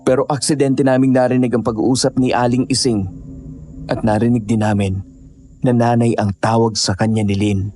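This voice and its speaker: A man's voice: medium pitch, level tone, normal speed, mellow, faint music behind, close to an everyday voice. A man playing an earnest, devoted young boyfriend in an audio horror drama. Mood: earnest, recounting.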